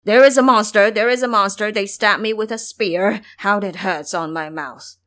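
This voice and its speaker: A woman's voice: mid-range voice, moderate pace, fairly bright tone, natural voice. A woman voicing a lazy, self-pitying pig-man fool in an audio drama, grumbling and exclaiming indignantly. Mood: panicked. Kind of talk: audio drama character acting.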